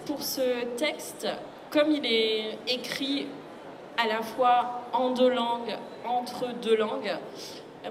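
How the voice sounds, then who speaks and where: Woman speaking in a noisy, echoey hall. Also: fairly high pitch. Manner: thoughtful, explanatory, illustrates with quoted asides. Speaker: literary translator, guest speaker. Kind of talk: conversational public discussion of literary translation. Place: public book fair talk. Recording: echoey hall, loud ambient noise.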